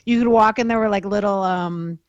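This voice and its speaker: A woman's voice: mid-range voice, fairly quick, clear, resonant tone, no room sound, highs cut off. A woman on a panel chatting casually, enthusiastic and scattered, in very colloquial speech. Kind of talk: casual chat.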